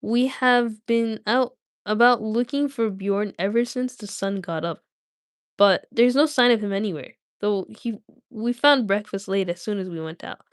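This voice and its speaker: A woman voicing a group of gruff male dwarves who answer briskly and matter-of-factly in a storybook reading. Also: medium pitch, no echo, studio quality, lightly acted.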